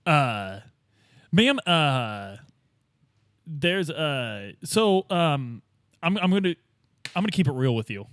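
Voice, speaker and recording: A man's voice: fairly high pitch, sing-song, slow, long pauses, a bit bright, clear, resonant tone, no room sound. A man playing a tabletop game, casually bantering and asking questions in a flippant, hesitant way. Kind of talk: casual improvised roleplay banter.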